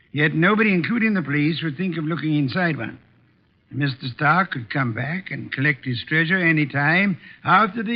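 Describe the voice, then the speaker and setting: Man playing grand pompous detective, raised pitch, deliberate theatrical phrasing, vintage radio drama, dull sound. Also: natural voice. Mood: smug, musing. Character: pompous, imperious, brilliant large male detective. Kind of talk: 1940s-50s radio acting. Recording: muffled old radio recording.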